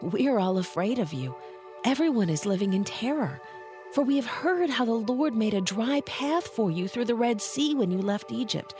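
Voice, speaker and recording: An anxious woman of the ancient world, played by an actress in a dramatized scripture recording. Her voice sits fairly high and pleads earnestly. Soft music runs behind her. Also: animated, moderate pace, natural voice. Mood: fearful, pleading. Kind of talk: dramatized audio Bible acting.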